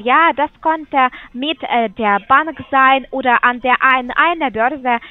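Female phone sales agent, high pitch, polite and scripted, heard over a tinny phone line. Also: no room sound. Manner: polite, scripted questions, hesitant fillers.

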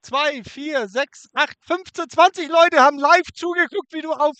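A man's voice: very high voice, unhurried, pushed and bright, no echo. A man on a prank phone call, taunting and crude, sitting in his car in a forest. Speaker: scam baiter.

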